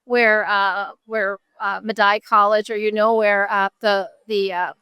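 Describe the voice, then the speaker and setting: A woman's voice: medium pitch, little pitch movement, a little slow, clean tone, no room sound, muffled recording. A middle-aged woman with an American accent speaking earnestly and emphatically as a guest in a conversational interview. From Buffalo, New York, USA. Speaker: interview guest, nonprofit advocate.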